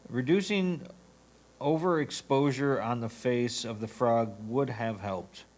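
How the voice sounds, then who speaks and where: A man's voice: medium pitch, takes it slowly, a little mellow. A man reading written critiques aloud as a reader for a competition judge.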